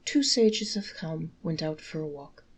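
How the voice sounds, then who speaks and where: A woman reading folktales aloud in a measured, articulate storybook narration. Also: low voice, expressive, breathy edge.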